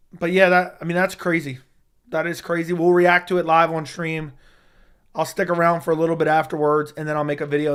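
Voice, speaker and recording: A young man talks straight to his stream viewers in a voice worn hoarse, sitting a touch higher than most men's. He gets worked up fast and swears freely, jumping between griping and excitement as he reacts to what he reads. It sounds like a casual home livestream on a desk mic. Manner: excitable, profane, reacting to news live. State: losing his voice. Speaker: MMA YouTube host.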